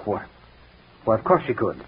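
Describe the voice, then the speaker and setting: Through the thin, hissy sound of a 1940s radio mystery comes a haughty, reclusive gentleman. He is pompous, clipped and quick to take offence, and his pitch swings dramatically as he defends himself. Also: huge pitch swings. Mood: indignant, evasive. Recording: noisy, tinny 1940s radio recording.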